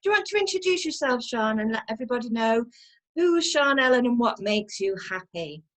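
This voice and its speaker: A woman's voice: a little high, big pitch swings, brisk. A woman hosting an interview over a video call, talking in an effusive, encouraging way. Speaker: host. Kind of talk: casual interview chat.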